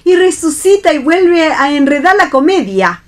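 A woman acting a pious, earnest, gently admonishing figure in a play reading for radio, speaking in sententious lines. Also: high voice, big pitch swings, moderate pace.

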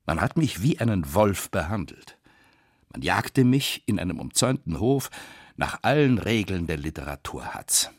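Deep, husky, embittered writer. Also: male voice, very animated, normal speed, no room sound, clean studio sound. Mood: bitter, defiant. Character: embittered male Russian writer, melancholy and defiant. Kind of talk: dramatic reading of personal letters.

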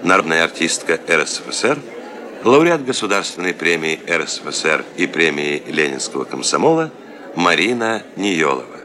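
A male announcer narrates a radio play in a formal, measured way. His voice is very low, with lively, wide-moving intonation. Crowd noise is clearly audible behind him.

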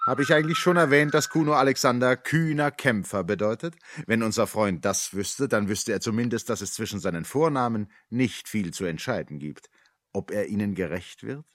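Actor as low-voiced, wry male narrator. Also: normal speed, a bit bright, no echo, natural voice. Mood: wry, musing. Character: wry, ironic male storyteller addressing the hero. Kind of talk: scripted satirical radio drama narration.

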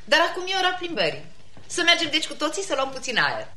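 High-voiced, commanding genteel aunt. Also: female voice, wildly swooping pitch, sharp tone, slight background noise, full character voice. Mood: gracious. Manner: formal, authoritative. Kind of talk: classic radio theatre comedy.

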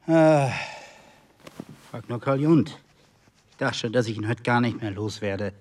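An actor playing a sullen, weary husband and secret agent in a German radio play, terse and grumbling. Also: male voice, mid-range voice, unhurried, darkish tone, far from the mic, a little hiss or hum, close to an everyday voice. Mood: irritated.

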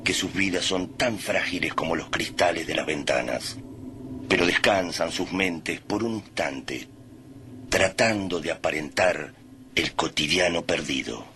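An actor playing a grave, literary narrator of a doomsday tale in a radio drama, telling it solemnly. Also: male voice, deep voice, a little slow, sharp tone, a little hiss or hum, exaggerated voice.

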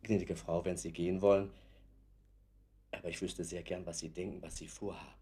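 A man's voice: deep voice, level tone, a little fast, warm tone, lightly acted. A man, an actor in a German radio play, playing an earnest, deferential man, heard on a noisy recording with clicks. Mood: earnest, subdued. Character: earnest, deferential man addressing a lady politely.

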